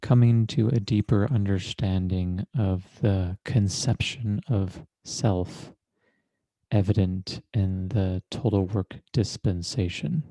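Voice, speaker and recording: A man leading a guided meditation as a teacher, speaking in a deliberate, measured way with long pauses and formal, precise wording. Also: low-pitched, fairly even intonation, dark tone, no echo.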